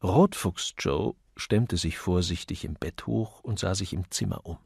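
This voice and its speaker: A man narrates a literary audiobook with some fry in his voice. His delivery is expressive and measured, and the sound is very dry. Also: sits low, dry recording.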